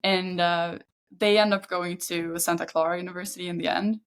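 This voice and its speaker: Swedish woman in her thirties, lower-pitched voice. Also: steady tone, fairly quick. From Sweden. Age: 30s. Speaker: podcast guest, football agent. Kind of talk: casual interview chat.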